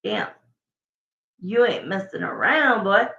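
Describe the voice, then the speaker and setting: A woman with a raspy voice doing a casual livestream tarot reading, chatting and thinking aloud between viewer questions. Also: mid-range voice, sing-song, slow, sharp tone. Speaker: tarot reader. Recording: echoey, dull lo-fi room sound.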